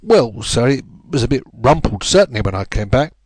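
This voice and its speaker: A man's voice: mid-range voice, sing-song, fairly quick, a little mellow, husky, dry recording, clean studio sound. A man voicing a big, penitent police constable in an audiobook, nervous, chatty, deferential and apologetic. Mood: defensive, deferential. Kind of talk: audiobook character voice.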